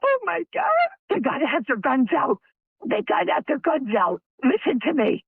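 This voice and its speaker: A woman's voice: sits high, wildly swooping pitch, moderate pace, dry recording. A woman making an emergency call, crying, panicked and pleading over and over. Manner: screaming, pleading, repeating. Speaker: emergency caller. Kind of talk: archival emergency call recording. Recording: tinny phone-line audio.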